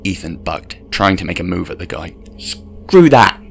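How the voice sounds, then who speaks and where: Male storyteller, low creaky voice, scripted horror narration, music underneath. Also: level tone, brisk, fairly bright tone.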